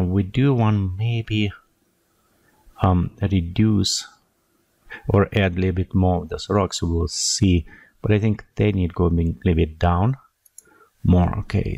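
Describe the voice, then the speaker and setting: A man with a delivery, talking through a screen-recorded software tutorial and thinking aloud as he clicks. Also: deep voice, unhurried, gravelly, pauses often, no room sound.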